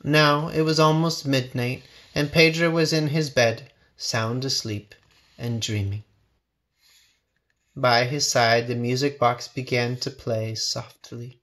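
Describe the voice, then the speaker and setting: A man narrating a children's storybook with expressive, measured phrasing. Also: mid-range voice. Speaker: storybook reader.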